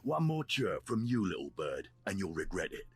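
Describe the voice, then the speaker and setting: Very deep, gruff Sith jailer. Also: male voice, sing-song, normal speed, noisy background. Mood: threatening. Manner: blunt, gruff. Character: gruff, menacing male Sith jailer running slave pits. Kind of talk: scripted video game dialogue. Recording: music behind the voice.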